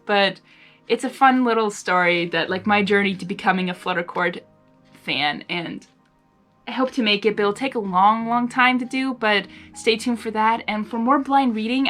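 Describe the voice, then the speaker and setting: A woman's voice: medium pitch, a bit bright. Female fan-channel host, mid-range voice, informal and gushing, over faint music. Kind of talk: casual reaction chat between readings.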